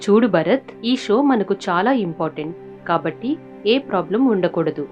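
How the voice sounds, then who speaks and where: A woman voicing a brisk, businesslike female contest organizer in a cartoon, firm and instructing. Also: on the low side, animated, highs cut off, faint music behind, voice pushed down, lightly acted.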